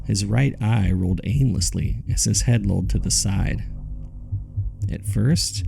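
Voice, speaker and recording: A man narrating a horror audio drama as a grave witness, in a deliberate, somber storytelling manner. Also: fairly low pitch, creaky, warm tone, faint music behind. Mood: grave, uneasy.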